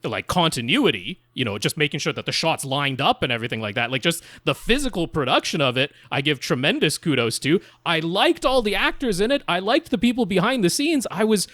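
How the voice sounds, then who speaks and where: A man with a fairly high voice that swings widely as he talks. He rambles through his opinions like a casual podcast co-host, animated and self-deprecating. The sound is very dry and close. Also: brisk, hardly any pauses, no echo. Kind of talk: casual opinionated film chat.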